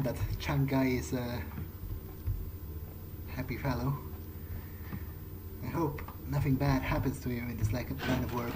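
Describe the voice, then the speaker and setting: A man's voice: mid-range voice, noisy background. A man improvising in character during a video game playthrough, casual, hesitant and wry. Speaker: let's play gamer speaking in character.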